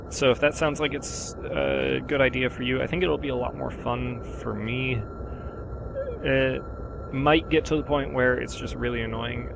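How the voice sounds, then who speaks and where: A man with a sore, sick throat talks through his gameplay in a casual, meandering voiceover, stopping often for ums and uhs. His middle-pitched voice sits on a gravelly, creaky edge. A steady hiss of noise runs underneath the recording. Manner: relaxed, rambling, many ums and uhs. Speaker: gaming channel host.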